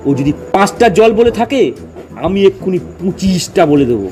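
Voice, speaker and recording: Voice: medium pitch, expressive, normal speed, dark tone, soft background music, lightly acted. A performer in a comic audio drama playing cantankerous, boastful village men who quibble pedantically and argue emphatically. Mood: scornful, bragging. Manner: argumentative, emphatic, rambling lists.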